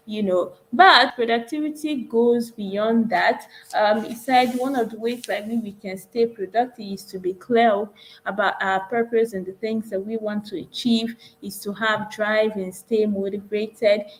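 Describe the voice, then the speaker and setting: A woman's voice: on the high side, poor audio. A woman hosting an online conference, recapping earlier talks in an earnest way with many fillers and repeated phrases. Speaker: conference host.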